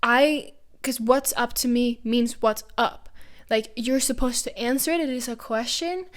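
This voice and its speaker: Clear, high-voiced woman from Norway. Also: unhurried. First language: Norwegian. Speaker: podcast co-host. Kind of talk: casual conversational podcast chat.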